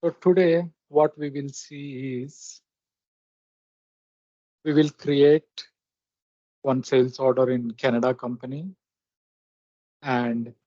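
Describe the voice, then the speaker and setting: A man with a mellow voice presents a software walkthrough at a slow pace. He goes step by step in short, halting phrases. The recording is dry and close. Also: medium pitch, dry recording. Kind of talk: step-by-step screen demonstration narration. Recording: dull, lo-fi close microphone recording.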